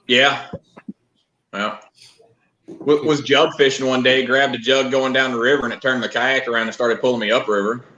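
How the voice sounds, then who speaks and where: A man's voice: medium pitch, steady tone, moderate pace, long pauses, sharp tone, slight background noise. Man, from Louisiana, casual storytelling, roomy echo, lo-fi sound. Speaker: podcast guest angler. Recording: echoey, dull lo-fi call audio.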